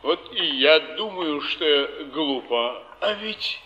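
An actor playing a ruined, timid, bewildered nobleman, halting and plaintive, in a theatrical Russian stage style. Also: a little high, animated, highs cut off. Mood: rueful, lost.

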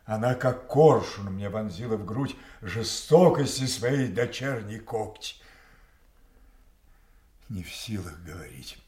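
A proud old king in an audio tragedy, wounded and quick to wrath. He declaims slowly and theatrically, with a volatile temper. The sound is roomy and echoing. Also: on the low side, expressive, darkish tone. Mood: bitterly aggrieved. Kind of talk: classical stage tragedy, audio play acting.

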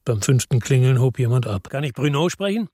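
Actor as husky tired detective, brooding narrator, dry booth. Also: male voice, expressive, fairly quick, warm tone, dry recording, lightly acted. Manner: low, brooding narration, harsh and angry on the phone. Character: weary middle-aged male detective narrator. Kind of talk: radio drama narration and dialogue.

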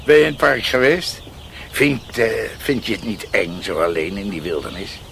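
An actor playing a genial, frank country doctor and uncle in a Dutch radio drama, conversational and avuncular. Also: male voice, lowish voice, sing-song, normal speed, gravelly, fairly bright tone, a little hiss or hum, heavily acted. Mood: kindly, curious.

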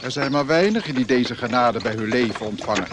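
An actor playing a stern, learned cleric lecturing in a measured way in a radio drama. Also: male voice, medium pitch, noisy background, close to an everyday voice. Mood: pious, lecturing.

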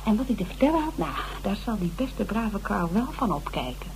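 A woman playing a brisk, cocky investigator in a radio drama, speaking flippantly. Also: medium pitch, sing-song, over music, low-quality recording. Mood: pleased, cocky. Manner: breezy, flippant. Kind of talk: radio drama acting, casual dialogue.

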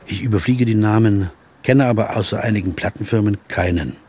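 An actor playing a jazz pianist who narrates in the first person, in a measured, inwardly questioning way. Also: male voice, lowish voice, darkish tone, breathy edge. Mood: musing. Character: male jazz pianist narrating, wry and curious. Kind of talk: audio drama narration.

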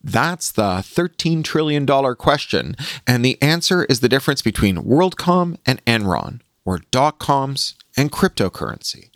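A man hosting a podcast, reading a scripted opinion column aloud in an articulate, measured and emphatic way. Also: medium pitch, big pitch swings, a bit bright, no echo, clean studio sound. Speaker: podcast host reading own magazine column.

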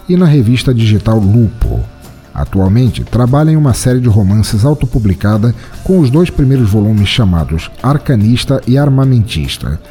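A man's voice: medium pitch, mellow. A man with a Brazilian Portuguese accent, hosting a podcast and reading a horror story in a theatrical, playful way. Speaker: podcast host and story reader. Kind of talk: podcast announcements and scripted horror story narration.

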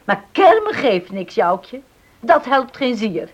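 A woman's voice: fairly high pitch, big pitch swings, slow, husky, highs cut off, exaggerated voice. An actress playing a blunt, devout, protective middle-aged mother in a Dutch radio drama, speaking emphatically and homely. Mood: scolding. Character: plain-spoken, devout mother, defiant and protective.

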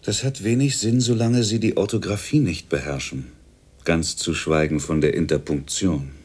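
Brusque, deep-voiced male boss. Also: steady tone, moderate pace, darkish tone, husky. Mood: scornful, lecturing. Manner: condescending, pointed. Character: brusque, belittling male company boss scolding a typist. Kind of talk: radio drama acting.

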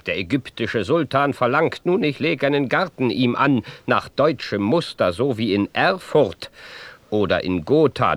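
A proud medieval knight held captive, easily wounded in his honour. His mid-pitched voice declaims slowly and dramatically in a dry, close sound, as in a theatrical radio play. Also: fairly bright tone, no echo.

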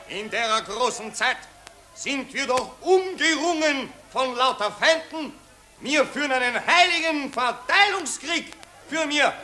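Bombastic shrill Viennese agitator. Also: male voice, sharp tone, heavily acted. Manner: oratorical, pompous, muddled. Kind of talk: 1940s radio acting. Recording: old radio recording, crowd behind.

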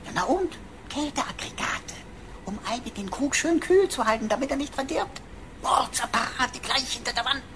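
A woman's voice: high-pitched, lively intonation, moderate pace, background noise. A woman playing a cheeky, whiny pocket computer sidekick with flippant, mock-solemn delivery in a German radio drama.

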